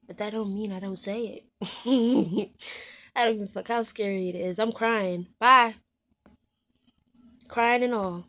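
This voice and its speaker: A woman in her twenties speaking candidly to her viewers, frank and heartfelt, often dropping to a soft hushed voice and swearing bluntly. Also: medium pitch, steady tone, measured pace, long pauses. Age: 20s. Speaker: vlogger. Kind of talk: candid personal monologue to viewers.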